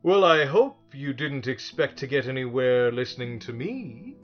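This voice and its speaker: Guy doing a little furry storybook demon, boastful crybaby, high and theatrical, kinda dull recording. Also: sing-song, takes it slowly, soft background music, full character voice. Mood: gleeful. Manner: theatrical, exclamatory, overwrought. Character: small furry demon of insincerity, boastful fake monster, whiny crybaby.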